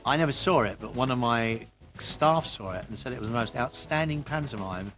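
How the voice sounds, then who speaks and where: A man's voice: medium pitch, lively intonation, fairly quick, fairly bright tone, faint music behind. A man giving blunt, emphatic opinions as an interviewee.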